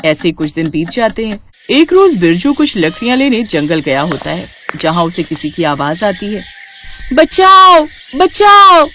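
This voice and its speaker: Animated woman storyteller. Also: fairly low pitch, moderate pace, few pauses, a little hiss or hum. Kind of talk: scripted narration of a moral story.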